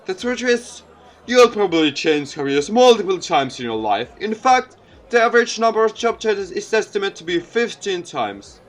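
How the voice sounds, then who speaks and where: A man's voice: high voice, very animated, normal speed, voice pushed up. A man voicing a jovial, earnest mayor from a visual novel, giving an encouraging, folksy public speech.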